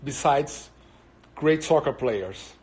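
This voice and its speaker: A man's voice: medium pitch, lively intonation, slow pace, darkish tone. A Brazilian man with a Brazilian Portuguese accent and Portuguese as his first language, chatting casually on a live stream and answering viewers. He rambles and hesitates with many fillers.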